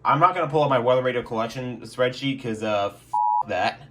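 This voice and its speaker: A man's voice: mid-range voice, brisk, heavy background noise. A young man rambling casually through a review vlog in his bedroom, joking and dropping lots of fillers. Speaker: weather radio collector.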